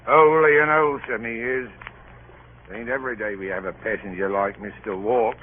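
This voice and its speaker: An actor playing a sardonic, grumbling ship's officer in a radio drama, with a rough, folksy, sarcastic way of talking. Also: male voice, medium pitch, steady tone, moderate pace, close to an everyday voice. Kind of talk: 1940s radio drama acting. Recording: tinny old radio broadcast, clear hiss.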